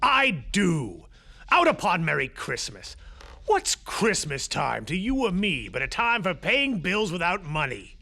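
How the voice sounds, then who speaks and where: An actor playing a cold-hearted, scornful old miser in a theatrical audio drama, curt and emphatic. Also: male voice, high-pitched, huge pitch swings, measured pace, gravelly, sharp tone, heavily acted. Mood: bitter scorn.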